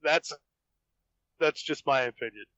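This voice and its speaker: A man's voice: medium pitch, a little slow, a bit bright, no room sound. A man in a casual group podcast chat, speaking as a guest author. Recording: dull, lo-fi voice chat audio.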